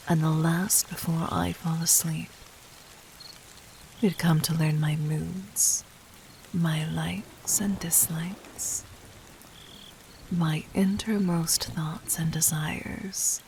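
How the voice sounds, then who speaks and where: A woman playing a gentle but commanding, seductive demon queen in an intimate audio roleplay, speaking softly and formally in a breathy near-whisper. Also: low voice, slight background noise, heavily acted. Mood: teasing, intimate.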